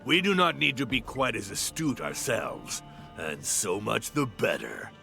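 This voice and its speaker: A jaunty, dismissive warrior sidekick in a fantasy game. His low voice is extremely gravelly and swings widely in pitch, with a forceful edge. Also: big pitch swings, measured pace, music in the background, full character voice. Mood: jaunty, breezy. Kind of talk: video game cutscene acting.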